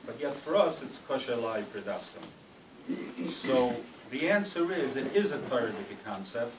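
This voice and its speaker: A man with a cold giving a rambling, self-deprecating farewell speech, full of halting restarts. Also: mid-range voice, lively intonation. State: has a cold. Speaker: speaker at a farewell party. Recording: roomy hall, distant mic, noisy.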